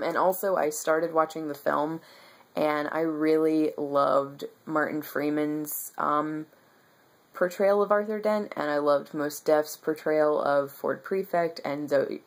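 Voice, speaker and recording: Stuffy-nosed young woman on a webcam in her bedroom, low voice, rambly and excited. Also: slow pace. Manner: rambling and enthusiastic, many ums. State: stuffy nose, losing her voice. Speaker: book vlogger. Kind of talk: casual monthly reading wrap-up. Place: bedroom with bookshelves, indoors.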